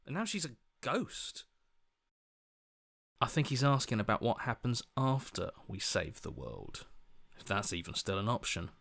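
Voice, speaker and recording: A man voicing an earnest, anxious young hero in a video game, with a hesitant, questioning, expressive delivery. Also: medium pitch, a little slow, gravelly, no echo, full character voice. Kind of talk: video game character acting.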